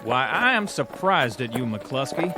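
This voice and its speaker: A man's voice: mid-range voice, big pitch swings, a little slow, music in the background. A man playing a sarcastic, cocky, teasing National Guard tank corporal in a radio drama.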